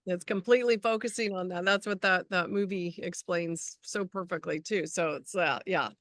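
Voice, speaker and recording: A woman hosting a casual conversation, agreeable and affirming, with halting phrasing. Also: on the low side, a bit bright, no echo, studio quality. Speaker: host. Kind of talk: casual chat.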